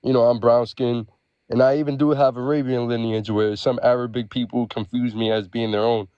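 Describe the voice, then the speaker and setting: A man's voice: medium pitch, flat delivery, a little fast, clean tone, no room sound. Hesitant New man, dull dry sound. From the Bronx, New York. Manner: hesitant, lots of fillers, agreeable. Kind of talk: casual podcast discussion.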